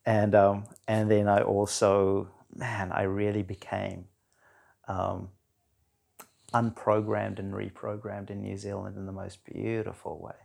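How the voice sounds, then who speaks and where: A man in his forties from South Africa with a South African accent, chatting casually as a podcast guest with a relaxed, rambling manner full of fillers. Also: on the low side, unhurried, vocal fry. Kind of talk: casual conversation.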